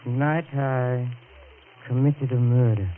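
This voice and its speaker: An actor playing an anguished, self-loathing man guilty of murder, halting and melodramatic, in the style of 1940s radio horror. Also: mid-range voice, steady tone, natural voice. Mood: haunted, confessing. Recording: tinny, noisy vintage radio recording.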